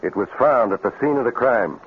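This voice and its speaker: Small-town sheriff: firm, folksy, deliberate, husky-edged, on a thin phone-like vintage radio recording. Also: male voice, medium pitch, animated. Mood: stern. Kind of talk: 1950s radio drama acting.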